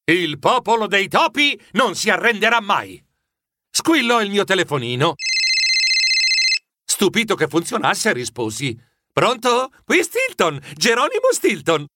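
An actor playing a timid, excitable mouse who narrates a children's story in a fretful, theatrical manner. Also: male voice, high voice, a little slow, a little hiss or hum, exaggerated voice. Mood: alarmed, dramatic. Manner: animated, fretful, dramatic.

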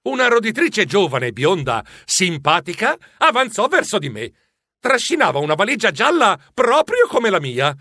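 An actor playing an excitable, anxious mouse who tells his own story in a children's audiobook, dramatic and expressive. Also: sits high, normal speed, no echo, studio quality, heavily acted. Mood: narrating, weary. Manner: dramatic, expressive, breathless exclamations. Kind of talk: children's audiobook first-person narration.

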